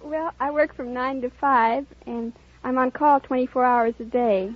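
A woman contestant answers the host in a high, slightly husky voice. She takes her time with each reply. The old radio sound is dull, with some audience behind her. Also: fairly even intonation, moderate pace. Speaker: quiz show contestant. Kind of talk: answering a host's questions.

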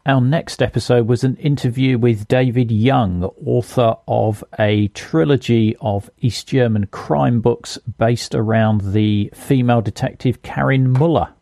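A man's voice: medium pitch, fairly even intonation, a little slow, gravelly, few pauses, mellow, no room sound. A man hosting a history podcast, steadily linking clips with plain narration and interview questions. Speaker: podcast host.

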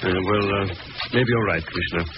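An actor playing a calm, resolute gentleman detective in a 1940s style radio drama. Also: low-pitched, brisk, lightly acted. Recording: muffled, noisy old radio recording.

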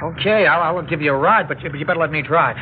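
A haughty pop musician acted by a man in a 1960s radio play, brusque and quick in a slightly high voice. The recording is muffled and old, with faint noise. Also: lightly acted. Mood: indignant, uneasy. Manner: brusque, indignant. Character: impatient, haughty male pop musician, cornered. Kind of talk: 1960s radio drama acting.

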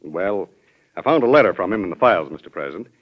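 A man's voice: deep voice, sing-song, fast, breathy edge, exaggerated voice. A man playing an experienced government official in an old radio drama, deferential, careful and a little wry. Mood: careful, explanatory. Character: deferential, measured male cabinet secretary, experienced official. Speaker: actor. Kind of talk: classic American radio drama acting. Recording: dull old radio recording.

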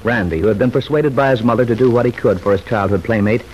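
A man's voice: medium pitch, quick pace, darkish tone, lo-fi sound. A man narrating an old-time radio serial as its announcer, reading scripted story commentary. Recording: faintly noisy vintage radio recording.

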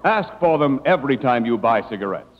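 A man's voice: medium pitch, very animated, brisk, heavy background noise. A man reading a 1940s radio advertisement as announcer, with polished, emphatic salesmanship. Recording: muffled old radio broadcast, hall echo.

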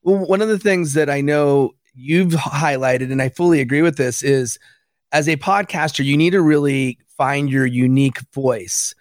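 A man interviewing a podcast host, asking measured, affirming questions. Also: on the high side, no echo, clean studio sound. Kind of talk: conversational interview.

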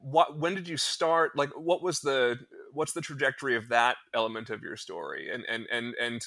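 Brief: male host with a bright, forward voice, asks searching questions in casual chat. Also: mid-range voice, moderate pace, gravelly, no echo, clean studio sound. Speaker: podcast host.

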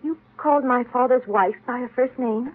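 Young heiress: bitter, suspicious, determined, radio-play delivery through thin vintage sound. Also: female voice, fairly high pitch, normal speed, breathy edge. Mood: probing. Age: young adult. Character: bitter, suspicious, determined twenty-year-old heiress daughter. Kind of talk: old-time radio drama acting. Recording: tinny narrow-band vintage radio recording.

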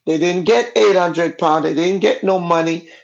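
A woman's voice: lowish voice, moderate pace, creaky, darkish tone. A Jamaican woman talks through her past in a frank, conversational way. She grew up speaking Jamaican Patois, and it shows. The audio is a bit dull and lo-fi. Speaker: guest. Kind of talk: personal storytelling in a casual interview.

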